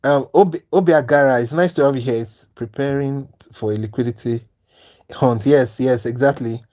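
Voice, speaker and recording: A man's voice: mid-range voice, moderate pace, mellow. Nigerian guy on a trading livestream, earnest and pushy, keeps asking if you understand. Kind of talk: live-stream talk to chat viewers.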